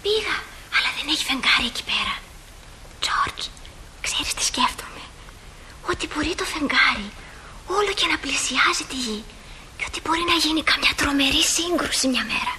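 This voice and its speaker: An actress playing a curious, wide-eyed little sister in radio theatre, with a breathy, whispery voice. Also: female voice, sits high, expressive, pauses often, pushed and bright, a little hiss or hum, heavily acted, rough recording. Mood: eager, wondering.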